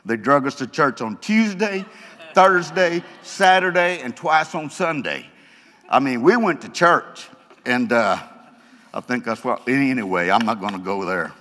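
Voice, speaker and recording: A man's voice: medium pitch, expressive, a little slow, bright, forceful tone. Stuttering man telling his testimony in a hall. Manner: folksy, joking storyteller. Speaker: church speaker giving testimony. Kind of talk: testimony talk to a congregation. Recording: long hall reverb.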